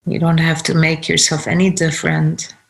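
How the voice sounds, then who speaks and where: A woman leading a guided meditation, giving gentle instructions in short phrases with pauses. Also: deep voice, monotone. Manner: unhurried, pausing between short phrases.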